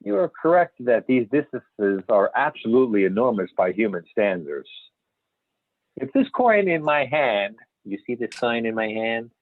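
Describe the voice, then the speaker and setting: A man's voice: mid-range voice, very animated, moderate pace, no echo. A man playing an older, folksy and candid astronomer turned UFO investigator, telling his story in an amateur readers theater over a call. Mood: explanatory. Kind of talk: amateur readers theater, scripted interview reminiscence. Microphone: phone or videoconference call. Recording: band-limited phone-like conference call audio.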